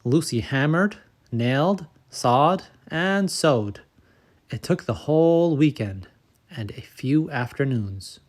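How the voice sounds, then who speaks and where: A man narrating a children's storybook as host, in a measured, expressive read-aloud manner. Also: on the high side. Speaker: storybook reader and channel host.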